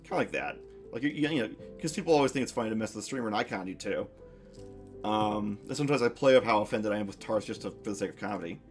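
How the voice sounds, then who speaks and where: A man's voice: lowish voice, quick pace, soft background music. A young man streaming and chatting casually, musing aloud with lots of restarts and fillers. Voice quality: masculine voice. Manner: rambling, reflective, many restarts and fillers.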